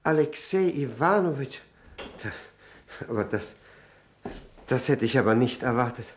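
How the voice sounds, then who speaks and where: An actor playing a nervous, self-abasing widower who drinks, stammering and repeating himself in an old radio play. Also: male voice, mid-range voice, expressive, a little slow, noisy background, natural voice. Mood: flustered, obsequious. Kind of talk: 1960 German radio play acting. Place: radio drama studio.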